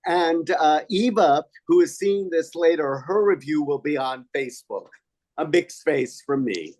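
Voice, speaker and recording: An older man reads his theater review aloud. His voice is somewhat high for a man, and the recording is dry with very little room sound. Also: lively intonation, a little slow, darkish tone, no room sound. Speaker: theater critic.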